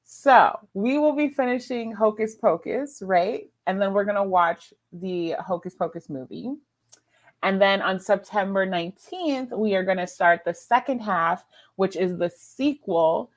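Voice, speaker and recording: A woman's voice: mid-range voice, measured pace. A woman hosting a book readalong livestream in an informal, chatty way, talking to co-hosts and viewers. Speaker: livestream host.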